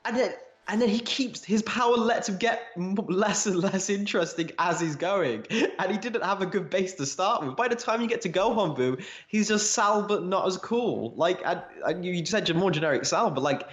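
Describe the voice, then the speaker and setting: A man's voice: high-pitched, lively intonation, normal speed, few pauses, a bit bright. Opinionated man, dull echoey recording. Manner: opinionated, emphatic, swears casually. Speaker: podcast host. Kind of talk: casual fan discussion. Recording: roomy echo, dull lo-fi sound.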